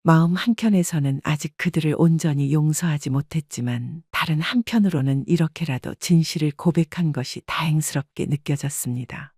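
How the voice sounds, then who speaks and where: A woman narrating a scripted first-person story in a calm, reflective way. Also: sits low, moderate pace, hardly any pauses, breathy edge, dry recording, studio quality.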